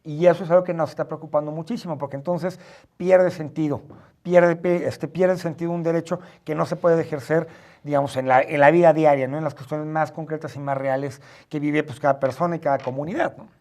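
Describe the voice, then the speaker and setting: A Mexican man co-hosting a studio discussion, speaking haltingly and often checking for agreement at the ends of phrases. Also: a little high, fairly even intonation, darkish tone. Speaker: talk show co-host and free-speech advocate. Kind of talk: studio discussion, spontaneous commentary. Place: internet TV studio.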